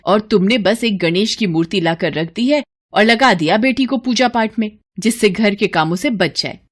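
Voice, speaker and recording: Sharp-tongued storybook stepmother, acted by a woman: bitter, scolding, scornful, medium pitch. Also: normal speed, lightly acted. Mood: scolding, resentful. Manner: scornful, nagging, curt. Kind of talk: moral storybook acting.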